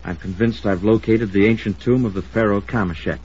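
A man's voice: fairly low pitch, steady tone, brisk, a little mellow, slight background noise, lightly acted. An actor playing an earnest, stubborn young man in his twenties, formal and polite, in 1950s radio drama style. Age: 20s. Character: earnest, defiant wealthy young nephew, an archaeologist. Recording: muffled old radio recording.

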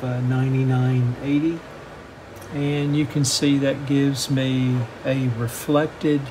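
A man gives a hands-on technical walkthrough at an unhurried pace. His delivery is flat and matter-of-fact, moving step by step at a medium pitch. A steady air-conditioning-like noise runs clearly behind his voice. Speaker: host of a radio repair channel. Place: indoor workbench.

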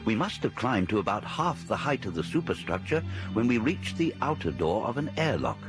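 An actor playing a space crewman who narrates a radio drama in measured, expressive storytelling. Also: lowish voice, music in the background. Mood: reflective. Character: male space crewman recounting the mission.

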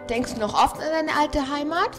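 A woman's voice: sits high, normal speed, music in the background. A teenage girl asking polite, plain interview questions.

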